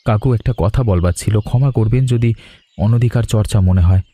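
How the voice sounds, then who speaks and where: A man voicing a courteous, reassuring young traveller in a cartoon, speaking politely and earnestly in measured phrases. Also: fairly low pitch, warm tone, natural voice. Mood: polite, serious. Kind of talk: cartoon drama dialogue. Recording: music under the voice.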